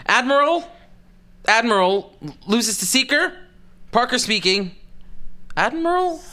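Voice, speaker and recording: A man's voice: very high-pitched, lively intonation, a little slow, bright, forceful tone, heavily acted. A man playing an anxious wreck of a spaceship pilot in an audio drama, nervous and hesitant. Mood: flustered.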